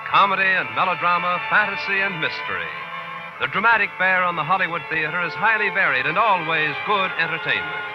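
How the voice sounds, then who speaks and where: Animated American old-time radio announcer. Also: male voice, sits high, brisk, loud music behind the voice. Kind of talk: 1950s radio announcing, scripted. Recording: dull vintage radio recording.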